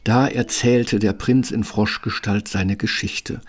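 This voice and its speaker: A man's voice: fairly low pitch, fairly even intonation, slow pace. A man narrating a fairytale radio play as a scripted storyteller.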